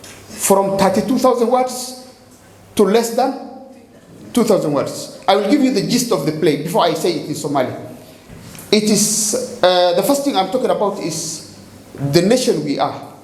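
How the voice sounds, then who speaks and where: A man's voice: high-pitched, expressive, a little mellow. Kenyan man explaining a poem, echoey stage. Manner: halting, repeats words while searching for them. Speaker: poet performing on a festival stage. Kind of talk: spoken explanation of poetry with recitation. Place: festival stage. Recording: echoey live stage with faint background noise.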